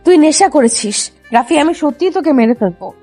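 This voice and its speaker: A woman playing a hot-tempered, sharp-tongued young college girl who scolds her cousin in an audio love story. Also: high-pitched, lively intonation, brisk. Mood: threatening. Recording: music under the voice.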